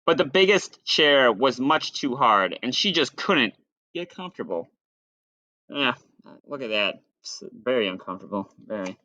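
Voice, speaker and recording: A man reading a picture book aloud to children, expressive and playful, with dry asides. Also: mid-range voice, no room sound, dull audio. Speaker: storyteller.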